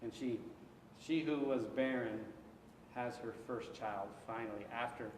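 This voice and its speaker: Pastor teaching in an echoey church hall. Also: male voice, mid-range voice, unhurried, far from the mic, slight background noise. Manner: conversational, takes questions, frequent restarts and acted asides. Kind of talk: interactive Bible study teaching. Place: church sanctuary.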